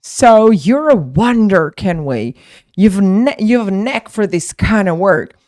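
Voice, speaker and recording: Slow, chatty woman teaching English. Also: mid-range voice, lively intonation, a little mellow, soft background music. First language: Portuguese. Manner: chatty, many fillers and restarts. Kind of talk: casual online English lesson.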